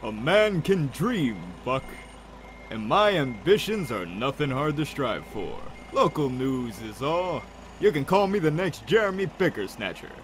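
Male actor as a folksy, teasing drug dealer for audio drama; highish, swooping delivery. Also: sing-song, unhurried, slight background noise. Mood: cheerful boasting. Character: Clayton, chatty good-humored male drug dealer.

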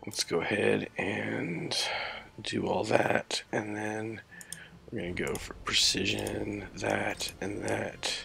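Sick man with a deep gravelly voice. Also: fairly even intonation, sharp tone, faint music behind. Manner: slow, low-key and apologetic. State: sick, scratchy and rough-voiced. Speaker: gameplay commentator. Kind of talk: casual gameplay commentary.

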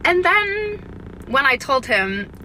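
A woman's voice: high voice, sing-song, slow pace, pushed and bright. A young woman from Canada telling an indignant, sweary first-person story into a phone inside a car. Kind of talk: agitated first-person social media story. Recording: faintly noisy phone clip.

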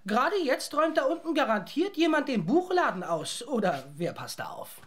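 A woman's voice: high voice, very animated, moderate pace, breathy edge, exaggerated voice. A woman voicing a cheeky, easygoing young teenage boy, casual and teasing, in a children's audio drama.

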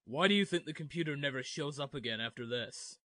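A man voicing a deadpan, unimpressed referee in a cartoon parody dub. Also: a little high, fairly quick, no echo, studio quality. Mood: dry, bored. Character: deadpan male exam referee in anime parody.

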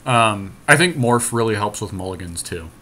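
A man's voice: fairly low pitch, expressive, normal speed, bright, forceful tone. A man giving casual, matter-of-fact commentary on a game as a podcast co-host, now and then mildly profane. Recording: noisy amateur recording.

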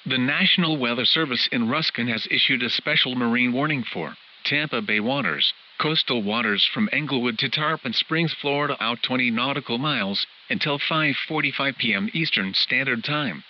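A man's voice: medium pitch, moderate pace, hardly any pauses. A man's synthetic text-to-speech voice reading a scripted emergency weather bulletin in a formal, clipped way, as a radio alert announcer. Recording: hissy, muffled weather radio broadcast.

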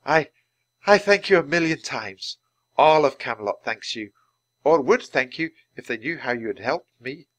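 A man voicing an earnest, emotional young boy wizard in a children's audiobook, remorseful and halting. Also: mid-range voice, lively intonation, a bit bright, dry recording, pitched above the performer's own voice, natural voice. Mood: earnest, grateful.

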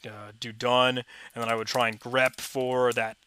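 A man's voice: medium pitch, level tone, unhurried, pushed and bright, slight background noise. A young man on a headset, casually walking through a coding challenge in a chatty, enthusiastic, rambling way. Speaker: tech tutorial host. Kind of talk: casual screen-recorded walkthrough.

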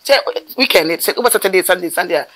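Fast-talking middle-aged Ghanaian woman. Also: lowish voice. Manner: animated, gesturing with her hands, rapid bursts of speech. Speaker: mortuary worker. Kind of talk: storytelling interview. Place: outdoors by a building wall.

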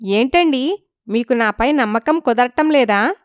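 A woman voicing a wronged young village wife in a story, polite and pleading but quietly determined. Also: on the high side, animated, measured pace, smooth, no echo, studio quality. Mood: meek, persuasive. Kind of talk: dramatised story acting.

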